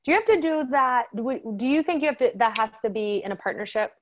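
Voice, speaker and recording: A woman's voice: on the high side, lively intonation, fast, slight background noise. Chatty woman hosting an interview. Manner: chatty, self-deprecating, asks questions. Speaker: podcast host. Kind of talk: casual interview.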